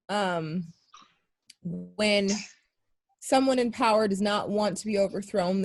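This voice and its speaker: A woman's voice: mid-range voice, a little mellow. A woman talking casually on a discussion panel, thoughtful and hesitant with frequent fillers. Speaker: panel guest.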